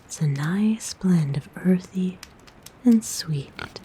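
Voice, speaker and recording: A woman playing a kind, motherly forest witch in a soft, breathy, gentle whisper for an ASMR roleplay. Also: lowish voice, slight background noise. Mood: soothing, tender. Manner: gentle, soothing, coaxing, unhurried. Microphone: close microphone.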